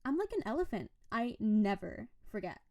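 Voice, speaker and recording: A woman's voice: mid-range voice, very animated, normal speed, mellow, dry recording, studio quality. A woman playing a playful, possessive snow-spirit wife in an audio roleplay, coaxing and doting in a theatrical way. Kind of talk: scripted audio roleplay addressed to the listener.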